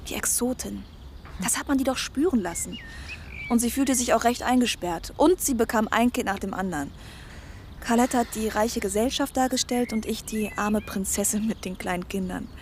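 An actress playing a gentle, conciliatory young woman in a radio drama, talking reflectively and simply. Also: on the high side, normal speed, slight background noise, close to an everyday voice. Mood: soft, reflective. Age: young adult.

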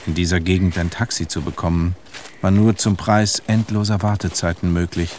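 An actor playing a reflective, weary middle-aged narrator, reading literary radio prose in a measured, melancholic way. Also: male voice, low voice, fairly even intonation, darkish tone, slight background noise, natural voice. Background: music.

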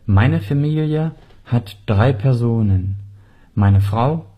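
A man reading example sentences and words for a radio language lesson, pronouncing each one carefully and deliberately as a model, recorded on a studio mic. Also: mid-range voice, lively intonation, breathy edge, muffled recording. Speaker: dialogue and pronunciation reader. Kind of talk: scripted radio lesson drills.

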